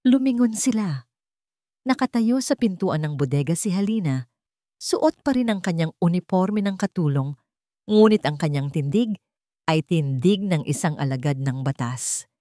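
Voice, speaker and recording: Female storyteller, slightly low register, dry studio-close sound, scripted dramatic narration. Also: animated, normal speed, warm tone, no room sound.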